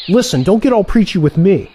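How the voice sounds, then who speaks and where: A man playing an excitable, cocky younger assassin in an audio play, talking in an animated, wheedling, sarcastic way. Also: high voice, a little fast, slight background noise. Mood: irritated. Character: excitable, cocky younger assassin, male trainee turned rival.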